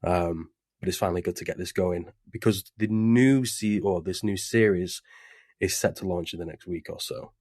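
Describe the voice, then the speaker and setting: A man's voice: sits low, moderate pace, a little mellow, dry recording, studio quality. A man hosting a casual podcast interview over a webcam call, chatty and loose with plenty of fillers. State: recovering from covid. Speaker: podcast host. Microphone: remote webcam call.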